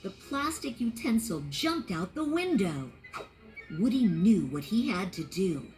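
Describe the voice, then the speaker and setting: A woman tells a children's read-along story. Her pitch sits in a middle range but moves a lot, rising and dipping with the story. Faint music plays behind her narration. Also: a little slow. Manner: clear, expressive storytelling.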